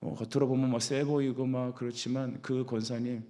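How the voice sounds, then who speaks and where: A man's voice: mid-range voice, little pitch movement, normal speed, mellow. A male pastor delivers an expository sermon in a mid-range voice. He exhorts the congregation directly. He often turns to them with rhetorical questions as he explains. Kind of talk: dawn service sermon, expository preaching.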